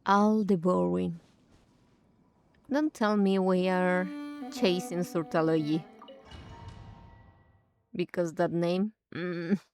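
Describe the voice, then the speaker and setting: A woman's voice: on the low side, flat delivery, slow pace, pauses often, a little mellow, faint music behind. A woman game streamer giving casual, reactive gameplay commentary in muttered asides.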